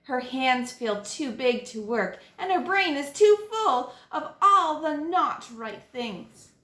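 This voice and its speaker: Animated high-voiced woman reading a storybook. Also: echoey room. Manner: dramatic, deliberate phrasing with stressed key words. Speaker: grade two teacher reading a picture book aloud. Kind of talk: expressive children's read-aloud narration.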